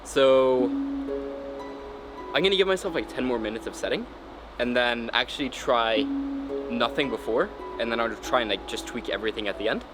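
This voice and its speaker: A man's voice: mid-range voice, normal speed, long pauses, music in the background, rough recording. A man setting a climbing route, talking casually while he works and fighting off a cold, thinking aloud with plenty of ums and likes. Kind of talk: casual vlog narration while working. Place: indoor climbing gym.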